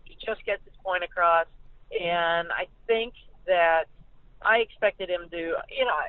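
A woman with an American accent giving conversational sports analysis as a guest reporter, haltingly and often repeating words. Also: fairly low pitch, no echo. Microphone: telephone. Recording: tinny, narrow phone line.

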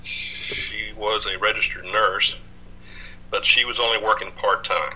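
A man's voice: fairly low pitch, fairly even intonation, a little slow, pushed and bright, a little hiss or hum, poor audio. A man, telling his story as a podcast guest, rambling with lots of fillers and restarts. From Dallas, Texas. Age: 60s. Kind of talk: casual interview.

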